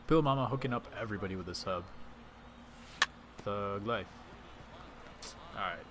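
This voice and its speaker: Lowish guy streaming a baseball game, chatting w chat, noisy mic hiss behind. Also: moderate pace. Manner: chatty, reads and answers chat while playing. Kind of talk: casual live-stream commentary.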